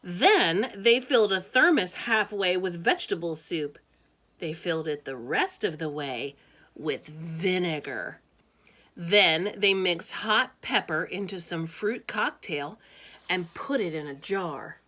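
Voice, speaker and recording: A woman reads a children's story aloud in a slightly low voice. She takes it very slowly, with expressive phrasing, and drops in casual comments of her own. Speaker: teacher reading aloud.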